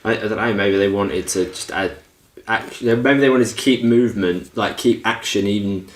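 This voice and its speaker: A man's voice: on the low side, moderate pace, echoey room. A man co-hosting a podcast, chatting casually and jokily in informal banter. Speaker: podcast co-host. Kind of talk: casual chat about films and games.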